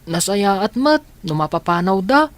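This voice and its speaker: A woman's voice: mid-range voice, sing-song, normal speed, lightly acted. An actress in a radio drama playing a worried woman talking with an older relative. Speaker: actor in a radio comedy drama. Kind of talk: Ilocano radio drama acting.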